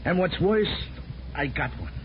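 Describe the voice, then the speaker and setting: Henpecked American petty crook, plain-spoken and protesting, 1950s radio comedy, dull hissy sound. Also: male voice, on the high side, lively intonation, unhurried. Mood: wry, explaining. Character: exasperated small-time crook protesting innocence, henpecked husband. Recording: muffled, noisy 1950s radio recording.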